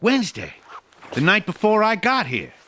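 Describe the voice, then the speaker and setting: Man as lively, bright-voiced young sleuth. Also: high-pitched, normal speed, full character voice. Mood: curious. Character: eager young American amateur sleuth. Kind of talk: audio drama acting of a 1930s mystery.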